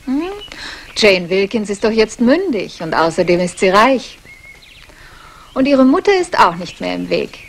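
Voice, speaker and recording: A woman's voice: mid-range voice, sing-song, measured pace, vocal fry. An actress playing a sardonic, scheming woman in a 1960s German radio crime play, teasing and mocking. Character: mocking, scheming female companion of a fugitive man. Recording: noisy old radio play recording.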